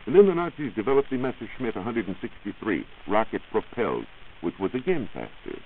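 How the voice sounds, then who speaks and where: An American man explaining science in a measured, formal way, in the style of a 1940s radio reporter. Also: on the low side, sing-song, narrow, tinny audio. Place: radio studio. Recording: hissy, band-limited 1940s radio recording.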